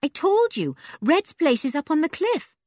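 A woman's voice: high-pitched, sing-song, moderate pace, a little mellow, no echo, clean studio sound, pitched above the performer's own voice. A woman voicing a scruffy, tough young girl who talks bluntly and warily.

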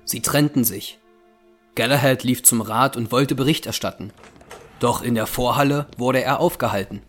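A man's voice: mid-range voice, normal speed. A man delivering scripted, dramatic narration as the narrator of an audio drama. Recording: music underneath.

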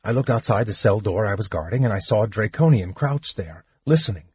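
A man voicing a firm, brisk young guard. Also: lowish voice, lively intonation, darkish tone, husky, no echo, highs cut off.

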